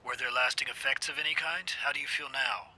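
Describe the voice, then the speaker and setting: A man's voice: medium pitch, fairly even intonation, quick pace, bright, forceful tone. Commanding male boss on a noisy recording, acted. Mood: stern, probing. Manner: clipped, authoritative. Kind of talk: audio drama dialogue. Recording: noisy line with background ambience.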